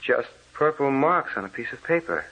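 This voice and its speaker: Dull, muffled old radio sound carries a husky man's voice of middling pitch. an uneasy husband in a haunted house, theatrical and impatient, brushing off every strange thing. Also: expressive, normal speed, pushed and bright, heavily acted. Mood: uneasy, dismissive. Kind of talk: 1930s-40s radio drama acting.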